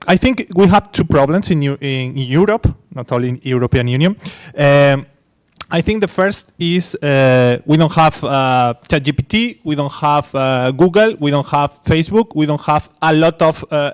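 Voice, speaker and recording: A man's voice: a little high, measured pace, smooth. A man from Spain, an English speaker, talking on a conference panel with many fillers and restarts. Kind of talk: academic panel discussion.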